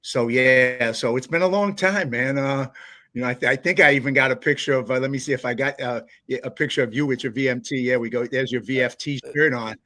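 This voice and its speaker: A man with a New York accent hosts a relaxed interview. His voice is bright and forceful, and he talks in a loose, friendly way, padding his questions with fillers. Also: medium pitch. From New York, USA. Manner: friendly, chatty, full of fillers and quick laughs. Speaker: interviewer, host. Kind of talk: casual interview chat.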